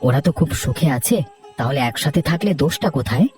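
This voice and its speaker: A man's voice: on the high side, expressive, fast, a little mellow, close to an everyday voice. A man playing an earnest, firm village character in a cartoon, explaining things in a reasoning way. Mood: insistent. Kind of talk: animated cartoon dialogue. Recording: music bed behind the voice.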